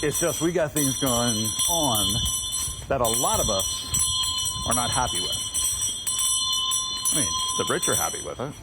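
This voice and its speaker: An American man hosting an informal outdoor livestream, addressing viewers and a small crowd in an earnest, deliberate, speechmaking way. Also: medium pitch, expressive. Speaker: livestream host and organizer of a public reading. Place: outdoors on a town street. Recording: very noisy outdoor livestream with ringing bells. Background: loud ambient noise and a ringing bell.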